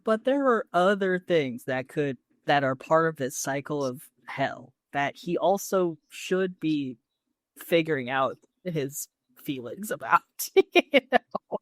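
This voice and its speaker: A woman's voice: low voice, expressive, slow, no echo. A woman co-hosting a podcast in a casual recap chat, wry, slangy and informal. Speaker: podcast co-host.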